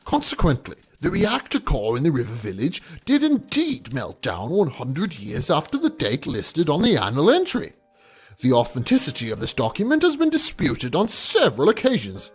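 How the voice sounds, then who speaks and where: A man performs a scholarly imperial archivist in an audio story, precise and deferential. The voice is on the high side and swings widely in pitch, with music playing behind it. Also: slightly rough, exaggerated voice. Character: formal, deferential male imperial archivist reporting his research. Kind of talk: scripted audio drama narration.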